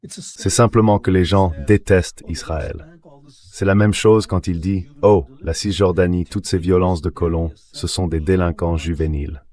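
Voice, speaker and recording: Very deep synthetic male analyst voice. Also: steady tone, moderate pace, a little mellow. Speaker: guest analyst. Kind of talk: dubbed translation voice-over of political analysis.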